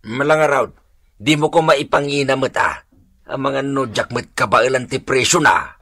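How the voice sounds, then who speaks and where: An actor playing a reluctant, haggling older moneylender in a radio drama, with an Ilocano accent. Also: male voice, medium pitch, normal speed, pushed and bright, no echo, clean studio sound.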